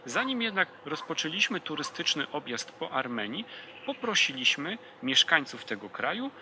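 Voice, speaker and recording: A man hosting a travel vlog, giving a scripted introduction with a lively delivery. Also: fairly high pitch, noisy background. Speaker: vlog host.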